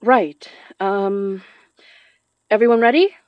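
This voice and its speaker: A woman's voice: mid-range voice, slow, dry recording, natural voice. A woman playing a determined, informal young spirit summoner in a horror audio drama, giving things a self-possessed, casual tone. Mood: focused. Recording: dry, slightly dull studio sound.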